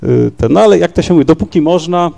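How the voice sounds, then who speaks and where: A man speaks on a discussion panel in a gravelly, dark voice, a little higher than most men. He rambles and hesitates, repeating words and tagging questions onto his sentences. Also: expressive, quick pace. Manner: rambling, hesitant, many fillers and tag questions. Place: large university lecture hall.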